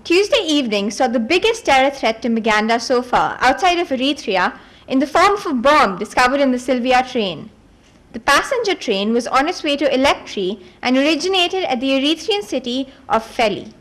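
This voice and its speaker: A woman reading a fantasy novel aloud at a book event, in an expressive storybook manner with the odd stumble over words. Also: high voice.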